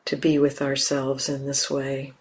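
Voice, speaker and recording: A woman teaching guided meditation, speaking gently and unhurriedly in short phrases with long pauses. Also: a bass voice, monotone, husky. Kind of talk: guided meditation, unscripted instruction.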